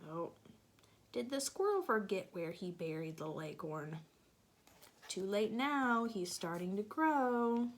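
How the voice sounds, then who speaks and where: A woman's voice: medium pitch, big pitch swings, takes it slowly, a little hiss or hum. A woman teacher reading a picture book aloud to young children, stopping to explain things and ask them questions.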